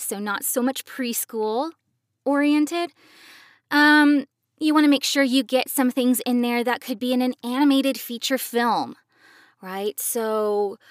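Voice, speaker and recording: A woman's voice: a little high, a bit bright, no echo, clean studio sound. A woman who co-hosts a podcast as a professional voice actor, explaining things in a conversational style.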